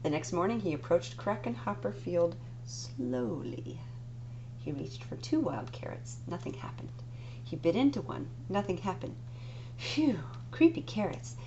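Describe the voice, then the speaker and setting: A woman's voice: mid-range voice, very animated, a little slow, creaky, dark tone. A woman librarian reading a picture book aloud, dramatic and dropping to whispers for the spooky parts. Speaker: children's librarian. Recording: dull lo-fi recording with noise.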